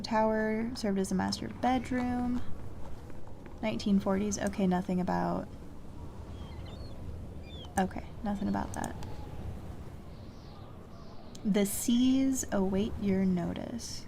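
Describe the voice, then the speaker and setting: A woman's voice: mid-range voice, fairly even intonation, unhurried, gravelly, long pauses, background noise. A woman streaming a puzzle game with casual commentary, thinking aloud and musing to herself as she plays. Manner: musing to self, reading clues aloud. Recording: music playing behind the voice. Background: game music.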